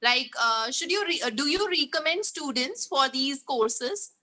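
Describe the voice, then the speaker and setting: A woman hosts the interview in a high, bright voice. She asks polite questions and sums up her guest's points. Her tone stays courteous and orderly throughout. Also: animated, a little slow. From India. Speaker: interview host.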